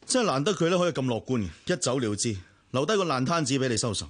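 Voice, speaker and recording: An actor playing an exasperated, sarcastic confidant in a Cantonese radio drama, grumbling in a Hong Kong accent. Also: male voice, mid-range voice, expressive, fast talker, a little hiss or hum, lightly acted. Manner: grumbling, sardonic.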